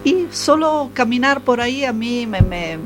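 A woman's voice: fairly high pitch, expressive, unhurried, noisy background. A woman whose first language is Italian speaks Spanish with an Italian accent. She is a panel guest and halts while searching for words. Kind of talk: personal reminiscence.

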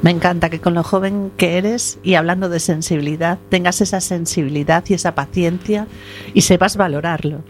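A woman hosts a panel and puts encouraging questions to her guests. Her voice sits a little low, with faint music playing under it. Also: steady tone, moderate pace. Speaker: host. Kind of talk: interviewing.

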